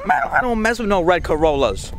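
A teenage boy talks into a handheld camera with a lot of swing in his voice, teasing friends in slangy, joking banter. Loud music plays under everything he says. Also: high-pitched, sing-song, a little fast. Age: teens. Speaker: vlogger. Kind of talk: casual vlog banter. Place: house and suburban street.

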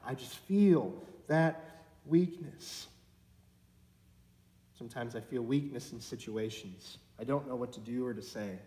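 A man's voice: medium pitch, measured pace, long pauses, warm tone. A man in his twenties preaching a sermon from a church pulpit, with a conversational, self-deprecating manner. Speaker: pastor. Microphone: far camera. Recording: echoey church with long reverb.

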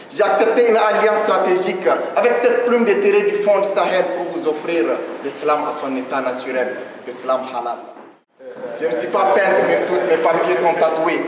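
A young man from Niger with a West African French accent, performing slam poetry in a rhythmic, declamatory way. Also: high voice. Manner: rhythmic, declamatory, gestures while reciting. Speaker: slam poet. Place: large hall with tiled floor, audience seated. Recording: echoey hall from far off, noisy.

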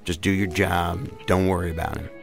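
A man playing a calm, frank federal agent in an audio drama. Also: deep voice, normal speed, creaky, soft background music. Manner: frank, reassuring.

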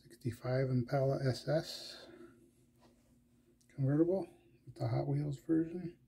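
A man casually narrating a tour of his collection, listing items in a slow, low-key way with pauses and fillers. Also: vocal fry, mellow, slightly rough.

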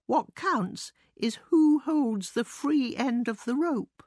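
A woman's voice: high-pitched, lively intonation, takes it slowly, darkish tone, dry recording, studio quality, pitched above the performer's own voice, heavily acted. A woman voicing a small, self-important male mouse with a pompous, declamatory manner, as in a storybook reading. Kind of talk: storybook character voice.

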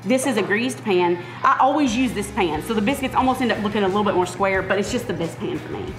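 A woman's voice: mid-range voice, animated, fast. Patient woman teaching cooking in a roomy kitchen. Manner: patient, encouraging, instructive. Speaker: cooking instructor. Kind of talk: step-by-step cooking demonstration. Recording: roomy kitchen sound with background music.

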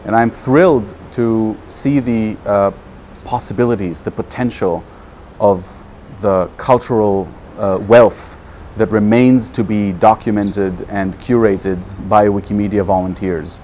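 A man in his thirties with a fairly low voice speaks English as a native Hebrew speaker. He talks to camera in a measured, thoughtful manner. He is outdoors, with noticeable ambient noise around the voice. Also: steady tone, mellow. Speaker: non-profit program manager. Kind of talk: informal talk to camera.

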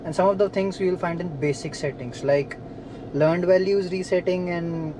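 A man's voice: high voice, fairly even intonation, moderate pace, background noise. A man explaining a car repair step by step while he works inside a parked car. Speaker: DIY car mechanic. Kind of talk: hands-on repair tutorial.

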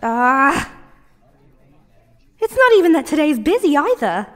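Woman acting as a flustered clumsy cafe girl, high voice, slowish pace, stammery and apologetic, asmr roleplay. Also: very animated, a bit bright, dry recording, exaggerated voice. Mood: frustrated muttering. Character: flustered, clumsy young female cafe barista. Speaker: audio roleplay voice actor.

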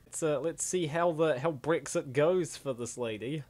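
A man hosting a comic dub, giving casual, excitable, exclamatory reaction commentary. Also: a little high, normal speed. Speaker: comic dub host and narrator.

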